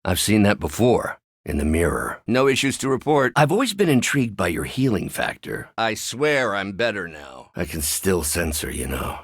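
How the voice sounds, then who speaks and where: An actor playing a brooding, polite and intellectual scientist hero in a video game, speaking in a measured, earnest, hesitant way. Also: male voice, lowish voice, sing-song, a bit bright, slightly rough, no echo, clean studio sound, heavily acted. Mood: troubled, remorseful.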